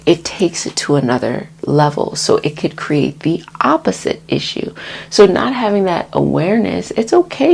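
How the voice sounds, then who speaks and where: A woman hosting a casual self-care healing session, talking in an encouraging, reassuring, conversational way with a husky, creaky voice. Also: on the low side, a little mellow. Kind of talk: casual spoken wellness talk for an ASMR healing session.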